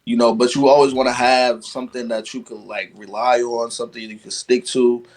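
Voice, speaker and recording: A man's voice: medium pitch, fairly quick. Young guy from guyana just chatting on a podcast, laid back, lots of "you know". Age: young adult. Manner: relaxed, lots of fillers like "you know what I'm saying". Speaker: podcast guest. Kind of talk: casual conversation.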